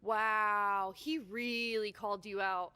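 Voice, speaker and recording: A woman's voice: mid-range voice, steady tone, slow, sharp tone, clean tone. A woman playing a sardonic, unimpressed wrestler co-host in a video game, with dry, teasing delivery. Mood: needling. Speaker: wrestler co-hosting a show. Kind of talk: scripted video game cutscene acting.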